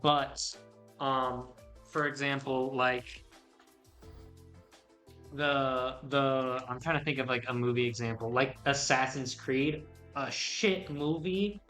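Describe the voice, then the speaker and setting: Young man, bright tone, casual rambling podcast talk, faint music behind. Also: mid-range voice, fairly even intonation, takes it slowly. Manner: rambling, many restarts and fillers. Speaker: podcast co-host. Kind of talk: casual chat.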